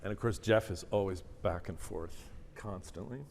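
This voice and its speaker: A man with a low voice opening his lecture as the guest speaker, soft-spoken and full of fillers. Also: normal speed, gravelly. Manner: soft-spoken, self-deprecating, many fillers. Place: lecture auditorium. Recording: long hall reverb, faint room noise.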